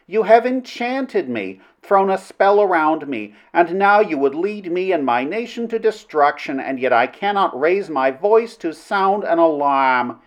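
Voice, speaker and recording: A man's voice: sits high, pitched above the performer's own voice. A man voicing a proud princess in an old adventure romance audiobook, melodramatic and formal in speech. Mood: enraptured, pleading. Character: proud commanding princess, stern then helplessly yielding to love.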